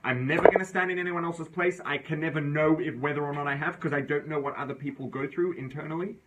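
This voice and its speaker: A man's voice: fairly high pitch, quick pace, noisy background. A man teaching an informal religious class, reasoning aloud in a conversational way and often checking in with his listeners. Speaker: Torah teacher.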